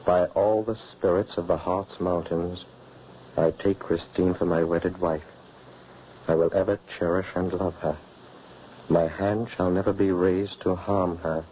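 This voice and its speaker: An actor playing a wary widowed father on the run, earnest and measured, in old radio drama acting. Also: deep voice, level tone, slight background noise. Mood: solemn. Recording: dull old radio recording.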